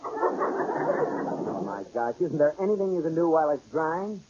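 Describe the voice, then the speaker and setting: An actor playing an impatient, sarcastic husband in a 1940s radio comedy, exasperated and given to disbelief. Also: male voice, fairly high pitch, sing-song, measured pace, heavy background noise. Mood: exasperated, alarmed. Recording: dull old radio recording.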